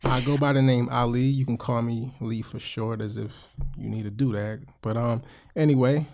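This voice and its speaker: A man's voice: medium pitch, steady tone, moderate pace, a little mellow. A man raised in the American South, co-hosting a podcast in laid-back casual chat with frequent short interjections. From born in Germany, raised in the US South. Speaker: podcast co-host.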